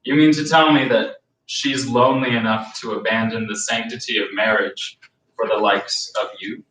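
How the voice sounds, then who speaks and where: A man's voice: medium pitch, steady tone, moderate pace, a bit bright, close to an everyday voice. Dry, needling male skeptic, played by a man for a stage reading, distant and noisy recording. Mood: wry, skeptical. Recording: echoey, noisy room, far from mic.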